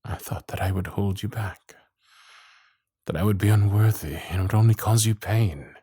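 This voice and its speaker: A man playing an earnest, lovestruck young gentleman with a tender, halting, pleading delivery in a romantic audio roleplay. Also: fairly low pitch, husky, dry recording, studio quality. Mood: pleading, confessional. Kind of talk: romantic ASMR roleplay acting.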